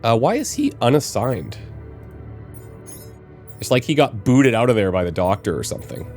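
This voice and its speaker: A man in his thirties with a slight cold and a bit of rasp, musing aloud as he plans out his moves while commentating a game. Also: mid-range voice, big pitch swings, moderate pace, darkish tone, soft background music. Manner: musing aloud, planning with fillers. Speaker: let's play host. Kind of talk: casual gameplay commentary.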